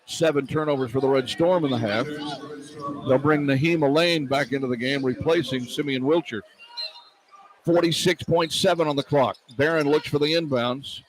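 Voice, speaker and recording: A man's voice: fairly high pitch, moderate pace, rough recording. A man calling live basketball play-by-play on the radio, narrating in clipped running phrases and stretching words on big plays. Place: indoor basketball arena. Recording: arena noise behind a live broadcast. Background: arena ambience.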